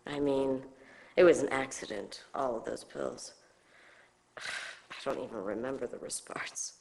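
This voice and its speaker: A woman's voice: a bass voice, measured pace, a little mellow, low-quality recording. A woman playing a troubled, stressed, self-loathing teenage schoolgirl in a video game. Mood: fearful, self-loathing. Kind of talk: FMV game acting.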